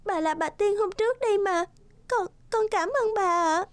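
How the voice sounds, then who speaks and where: Sweet, high-pitched meek young girl. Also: moderate pace, no room sound, faint music behind. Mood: amazed, grateful. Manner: polite, plaintive. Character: sweet, meek, kind-hearted young peasant girl, easily distressed.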